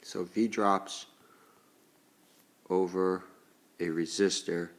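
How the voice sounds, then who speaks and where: Male teacher, very low voice, congested, stuffed-up nose, slow step-by-step explaining. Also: fairly even intonation. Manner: slow, deliberate explaining while writing. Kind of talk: recorded classroom lesson.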